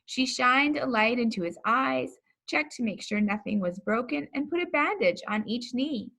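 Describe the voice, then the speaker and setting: A woman reading a children's story aloud in an expressive, deliberate storytelling manner. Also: a little high, vocal fry, poor audio. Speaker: pastor reading a children's book.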